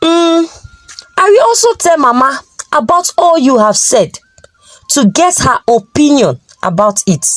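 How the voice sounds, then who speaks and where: A woman's voice: high-pitched, lively intonation, measured pace, no echo. A woman with a Nigerian accent voicing a proud, stubborn young wife in a folktale, defiant and insistent.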